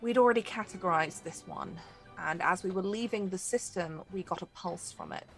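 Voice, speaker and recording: A woman playing an exhausted Vulcan woman captain in a sci-fi tabletop game, grave and guarded, speaking in measured, halting, clipped phrases. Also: lowish voice, gravelly, faint music behind, rough recording. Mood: grave, weary.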